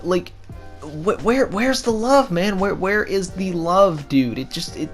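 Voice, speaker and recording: A young man with a scratchy, hoarse throat giving casual gaming commentary, ranting with frustration and repeating himself. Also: high-pitched, lively intonation, takes it slowly. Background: background music.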